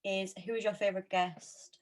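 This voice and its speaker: A woman's voice: medium pitch, little pitch movement, moderate pace. A woman of about twenty chatting casually as a co-host, often telling stories in a giggly way.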